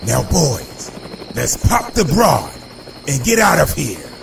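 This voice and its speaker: Slow, smug male villain boss, played by an actor, thick gravel in the voice, noisy backdrop. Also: fairly high pitch, wildly swooping pitch, full character voice. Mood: smug menace. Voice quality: heavily gravelly. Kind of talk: animated comic villain acting. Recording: clear noise behind the voice.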